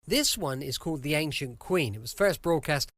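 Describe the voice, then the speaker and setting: A man's voice: a little high, expressive, normal speed, fairly bright tone, no echo. An English man hosting a podcast, chatting informally in a rambling, chirpy way from home. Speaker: podcast host.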